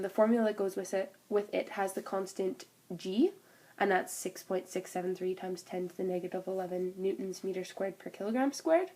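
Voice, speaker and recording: A young woman speaks with a stuffed-up nose from a cold, her voice sitting at an ordinary middle pitch. She explains a physics idea in a loose, informal way, with fillers and restarts, like a student's homework recording. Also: darkish tone. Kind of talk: informal spoken class presentation.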